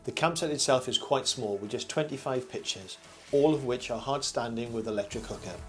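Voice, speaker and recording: An older man reading a scripted review voice-over as host, in a measured, formal presenter manner. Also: medium pitch. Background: background music.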